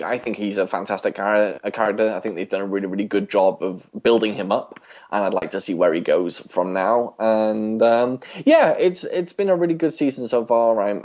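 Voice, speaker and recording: Low-pitched man co-hosting a casual podcast. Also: darkish tone, dull audio. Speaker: podcast co-host. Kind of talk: casual podcast chat about a TV series.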